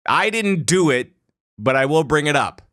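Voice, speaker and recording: A man's voice: on the high side, expressive, normal speed, fairly bright tone, no room sound, studio quality. A man hosting a casual gaming podcast, talking loosely with lots of fillers and restarts. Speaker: podcast host.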